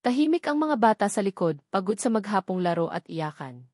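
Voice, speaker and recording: A woman's voice: medium pitch, big pitch swings, fairly quick, darkish tone, dry recording, studio quality. A woman with a Filipino accent narrates a scripted romance story in a dramatic storytelling style.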